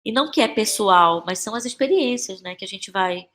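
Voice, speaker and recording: A woman's voice: medium pitch, animated, a little fast, a bit bright. Woman, Brazilian Portuguese accent, casual interview guest, reflective, informal phrasing, mid-range voice. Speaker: interview guest, playwright and actress.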